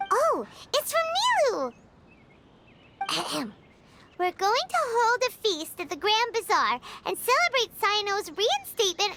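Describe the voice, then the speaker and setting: A woman voicing a tiny, excitable, childlike fairy companion in a video game, perky and bubbly. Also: very high-pitched, very noisy. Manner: perky, bubbly, speaks of self in third person.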